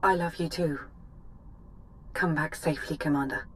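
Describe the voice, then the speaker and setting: A woman's voice: low-pitched, fairly even intonation, normal speed, a little hiss or hum, natural voice. An actress playing a serious, devoted female military adviser in a video game, grave and formal. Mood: tender. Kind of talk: video game cutscene acting.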